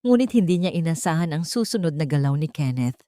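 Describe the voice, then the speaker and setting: A woman's voice: low-pitched, animated, quick pace, warm tone, no echo, clean studio sound. A woman narrator reading a scripted melodrama as an audiobook, with dramatic storytelling delivery.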